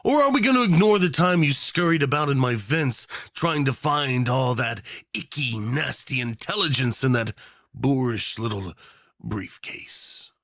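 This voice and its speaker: A man's voice: medium pitch, lively intonation, a little slow, a bit bright, no room sound, studio quality. A man playing a doting, unhinged rich tyrant in an obsessive romance roleplay, theatrical and saccharine, teasing with pet names. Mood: sweetly teasing. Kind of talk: yandere ASMR roleplay acting.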